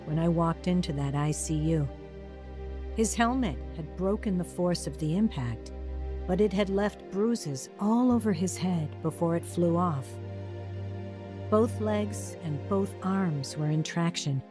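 A grown woman's low, earnest voice in a radio drama, looking back on her past. Soft new-age music plays clearly behind her. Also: expressive, measured pace. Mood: reflective. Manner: earnest reflective. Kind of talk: scripted narration. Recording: narration over music.

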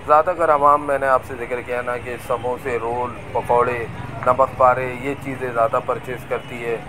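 Man, flat steady delivery, street traffic noise, outdoor bike tour. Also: medium pitch, moderate pace. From Pakistan. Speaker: vlogger. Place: busy market street outdoors. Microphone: camera on a moving bike. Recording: noisy outdoor street recording. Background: traffic and crowd noise.

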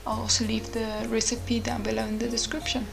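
A woman's voice: fairly high pitch, monotone, a little fast, vocal fry. A woman in her twenties chatting casually to viewers in an informal vlogging style. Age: 20s. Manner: informal, addressing viewers directly. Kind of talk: casual vlog chat and recipe voice-over. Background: music.

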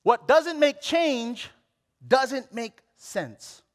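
A man in his late twenties from Hawaii, preaching a sermon on a church stage with a headset mic, animated and full of restarts. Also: very high-pitched, extremely slow. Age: late 20s. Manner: animated, exclamatory, with many restarts. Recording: long hall reverb.

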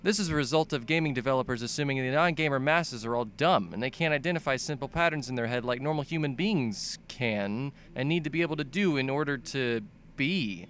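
A man's voice: medium pitch, fairly quick, a bit bright, a little hiss or hum. A young man narrating a comedic scripted video essay about games in an exaggerated, sweary, ranting manner. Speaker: video game commentator.

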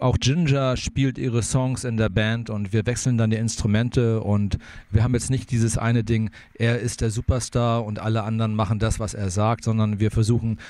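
A man in his fifties from Hamburg describes his band. He rambles in an easygoing way, leaning on fillers, at a middle pitch. He is recorded outdoors on a handheld mic. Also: no room sound. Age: 50s. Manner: relaxed, rambling with many fillers. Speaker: band leader. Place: outdoor city square.

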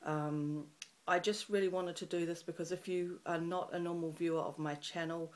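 A middle-aged woman from New Zealand with a New Zealand accent and a croaky morning voice, chatting to a webcam in a small room, rambling with lots of ums. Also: lowish voice, fairly even intonation, moderate pace. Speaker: craft channel host. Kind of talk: casual chat to camera. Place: small room in front of a festive fabric backdrop.

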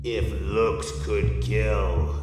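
Cackling undead audio-play horror host, gleeful, mocking, very slow drawl, cavernous echo. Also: male voice, lowish voice, voice pushed down. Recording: cavernous echo with long reverb, music.

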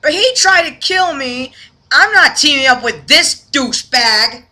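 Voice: high voice, animated, a little slow, bright, forceful tone, exaggerated voice. An amateur voice actor playing an irritable, whiny talking cup hero in a homemade cartoon. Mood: indignant. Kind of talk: amateur animation voice acting.

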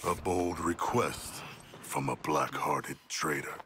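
An actor playing a measured, formal, disdainful male villain in a video game cutscene, with loud music over him. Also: extremely low pitch, noisy background.

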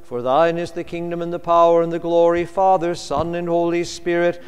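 A man chanting prayers in slow, intoned liturgical style, as a cantor in a church. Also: a little high, flat delivery, clear, resonant tone, faint music behind. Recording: long reverb of a large hall.

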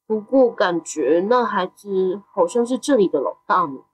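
A woman's voice: medium pitch, animated, moderate pace, slightly rough, soft background music, poor audio. A woman playing a curious, easily frightened young teenage boy in an audio drama. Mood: pondering, uneasy. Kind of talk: Mandarin audio drama acting.

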